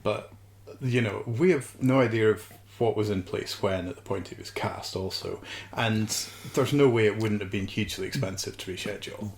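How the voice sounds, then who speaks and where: A man co-hosting a podcast, casually discussing a television series in a measured way and qualifying his points. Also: fairly low pitch, vocal fry, a little hiss or hum. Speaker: podcast co-host.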